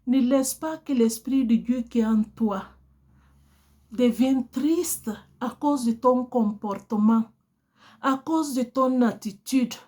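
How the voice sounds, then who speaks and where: A woman's voice: on the high side, takes it slowly, a little mellow. A woman whose first language is Portuguese, preaching a sermon in an earnest, exhorting way, addressing her listeners directly.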